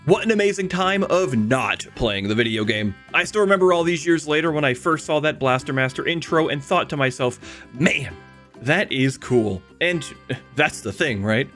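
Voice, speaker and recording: A man with a fairly high, very animated voice narrates a scripted video essay. He is emphatic and wry, pausing dramatically for effect. Music plays softly behind him. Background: background music.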